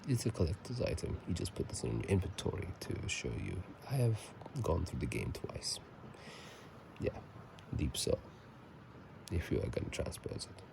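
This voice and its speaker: Low whispering man with gravelly creak. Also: sing-song. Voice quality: soft whispery voice, breaking into low murmur. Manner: halting, casual, self-correcting. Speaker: channel host. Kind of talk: whispered ASMR game commentary. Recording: faint noise, phone microphone.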